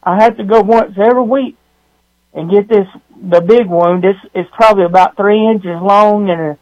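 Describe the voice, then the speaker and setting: A man calling in to a radio show, talking sincerely in a halting way with many fillers. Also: high voice, fairly even intonation, a little mellow, dry recording, dull audio. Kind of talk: casual phone-in talk. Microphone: phone line.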